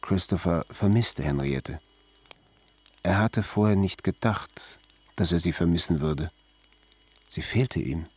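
A man narrating scripted literary prose in a measured, declarative manner. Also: low voice, darkish tone, breathy edge.

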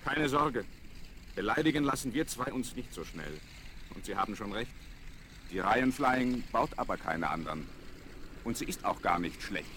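A young pilot with a fairly low voice, breezy and sure of himself. He answers with dry irony, in radio-play style, over faint vinyl noise. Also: animated, moderate pace, sharp tone. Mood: breezy, confident. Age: young adult. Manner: breezy, dryly ironic, unflappable. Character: self-assured, wry young male aviator. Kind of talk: German children's radio play acting.

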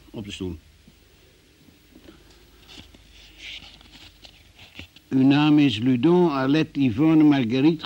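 A dull, muffled old radio recording carries an actor as a formal examining magistrate. The man speaks in a mid-range voice with brisk, official, procedural phrasing, businesslike throughout. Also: mid-range voice, darkish tone, lightly acted. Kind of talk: radio play acting.

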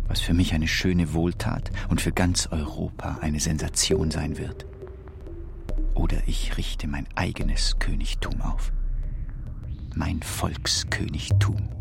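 Radio drama role: proud, melancholy fallen monarch, slow and gravelly, declamatory. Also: male voice, extremely low pitch, exaggerated voice. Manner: grandiose, declamatory. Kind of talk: theatrical radio drama monologue.

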